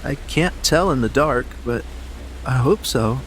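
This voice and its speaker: An actor playing an uneasy, superstitious yachting companion in an audiobook drama, earnest, hesitant and brooding. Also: male voice, medium pitch, animated, unhurried, slight background noise, natural voice, rough recording.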